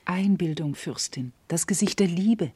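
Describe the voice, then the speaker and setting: A loyal, soothing confidante in a classical verse radio play. Her woman's voice is lowish, dark and husky, recorded dry and close. She reasons in a measured, reassuring way. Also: expressive, no room sound, exaggerated voice. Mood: gently dismissive.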